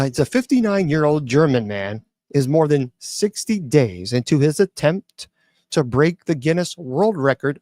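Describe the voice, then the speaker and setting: A man's voice: mid-range voice, a little mellow, no room sound, clean studio sound. Chatty man riffing on news. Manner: chatty, reads news aloud then riffs with many restarts. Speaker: host reading news stories. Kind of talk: casual commentary on news stories.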